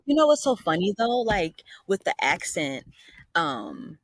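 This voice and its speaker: A woman's voice: on the low side, big pitch swings, a little slow, no echo. A young woman with a Southern accent, talking as a guest in a casual conversation, rambling with lots of fillers. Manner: rambling, lots of "like" and "you know".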